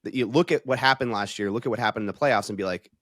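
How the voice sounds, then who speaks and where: A man with a fairly low voice in a casual football discussion. He lays out his reasoning step by step like a reporter on a panel, and the sound is dry and close. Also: rapid-fire, fairly bright tone, no echo. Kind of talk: casual sports analysis discussion.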